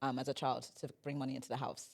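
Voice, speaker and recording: A woman's voice: very deep voice, level tone, very fast, gravelly, darkish tone. A woman hosting a podcast in casual comedic chat, with a playful, teasing, mock-earnest way of talking. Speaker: podcast host.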